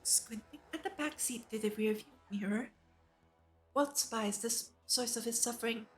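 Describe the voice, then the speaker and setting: A woman's voice: fairly high pitch, normal speed, faint music behind. A woman streamer reading a visual novel aloud and commenting casually as an enthusiastic fan. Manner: enthusiastic, giggly fan reactions between read passages. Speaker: VTuber streamer.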